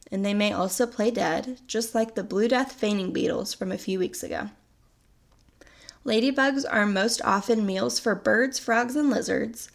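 Young woman podcaster with a gravelly voice. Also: mid-range voice. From North America. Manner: chatty and upbeat, explaining facts. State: recovering from a cold, sounds a little sickly. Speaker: podcast host. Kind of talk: casual solo podcast narration.

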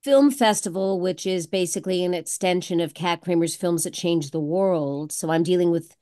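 A woman speaking as a guest on a video call, slightly rough-voiced today, upbeat and agreeable. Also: on the low side, moderate pace, warm tone. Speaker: film festival organizer, guest. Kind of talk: casual talk over video call.

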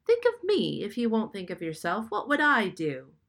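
A woman's voice: mid-range voice, wildly swooping pitch, normal speed, fairly bright tone. A woman voicing a caring, anxious young woman artist who speaks up in a pleading voice. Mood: upset. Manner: pleading, raised.

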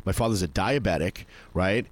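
A man's voice: low-pitched, moderate pace. A man in his forties in a casual, rambling chat, swearing freely and acting out what others said. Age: 40s. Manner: rambling, profane, acts out quoted voices.

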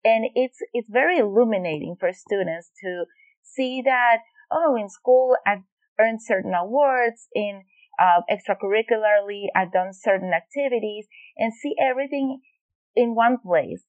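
A woman's voice: fairly high pitch, lively intonation, moderate pace, no echo, muffled recording. A woman from Venezuela working as an educational consultant, explaining her advice in a podcast interview with some fillers and restarts.

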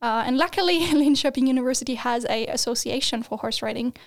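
A woman's voice: high-pitched, quick pace. A woman in her twenties, a student guest, talking casually with lots of fillers and laughter. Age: 20s. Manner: chatty, laughs often, many fillers and restarts. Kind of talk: casual podcast chat.

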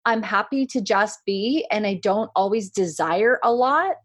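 A woman's voice: medium pitch, a little slow. A woman co-hosting a podcast, chatting casually, reflective and enthusiastic. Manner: reflective, enthusiastic, mimics sing-song labeling. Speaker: podcast co-host. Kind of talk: casual conversational chat.

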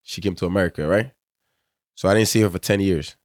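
A man's voice: low-pitched, fast, no echo, clean studio sound. A young man from Togo, a soccer player guest, talking in a laid-back, slangy way full of fillers. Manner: laid-back, slangy, lots of "you know", trails off quietly. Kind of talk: casual interview.

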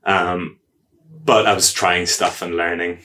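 An Irish man with a low voice and a bright, forceful edge, talking through his career as a podcast guest. He speaks casually, with frequent ums and self-corrections, and is wry about himself. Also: flat delivery, slow pace. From Ireland. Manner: chatty, self-deprecating, many ums and restarts. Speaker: guest, agile coach.

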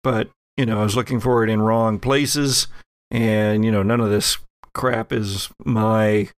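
A man's voice: lowish voice, fairly even intonation, a little slow, clear, resonant tone, no echo, studio quality, lightly acted. A man playing a wary, weary federal agent in an improvised tabletop horror game, talking in a hesitant, colloquial way that trails off. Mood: weary, frank. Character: weary male FBI agent Owl, wary, reluctantly practical. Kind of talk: improvised in-character tabletop dialogue.

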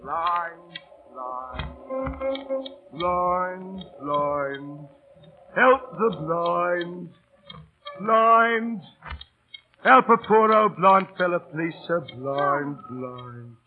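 An actor in an old radio drama playing a middle-aged, down-at-heel busker, proud yet beaten and pleading, with colloquial working-class speech and theatrical delivery. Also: male voice, high-pitched, expressive, drawn-out words, long pauses, soft background music, full character voice. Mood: whining beggar. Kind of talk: 1930s-40s radio drama acting. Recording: old radio broadcast, phone-like narrow band.

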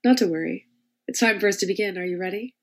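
A woman's voice: mid-range voice, quick pace, natural voice. A woman voicing a commanding, icy female dominatrix for hire who speaks in a cool, clipped, controlling way. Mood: businesslike. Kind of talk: dramatized reading of parody fiction.

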